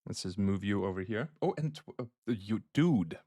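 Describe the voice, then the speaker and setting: A man's voice: fairly low pitch, animated, normal speed, dark tone, dry recording, clean studio sound. A man from Germany thinking aloud in a slangy, casual way as he demos a music plugin on a livestream, like a producer at work. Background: synthesizer sounds and music.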